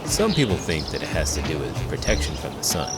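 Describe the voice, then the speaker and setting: A man's voice: a bass voice, sing-song, brisk, loud music behind the voice, heavily acted. A man voicing a kindly scientist uncle who patiently explains things to his nephew in a children's storybook. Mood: explanatory.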